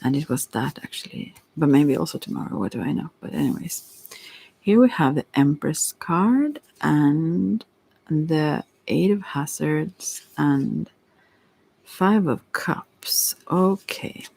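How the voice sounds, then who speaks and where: A woman speaking softly, at times in a whisper, in a relaxed, meandering ASMR tarot ramble with hesitant asides. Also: low-pitched, slow pace, gravelly, breathy edge. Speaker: host, tarot reader. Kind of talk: soft-spoken rambling ASMR tarot chat.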